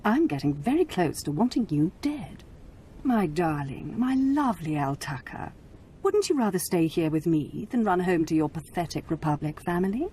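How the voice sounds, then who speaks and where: A woman's voice: lowish voice, very animated, normal speed, warm tone, a little hiss or hum. A cruel, haughty noble lady. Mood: condescending. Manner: condescending, cold and mocking. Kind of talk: video game cutscene acting.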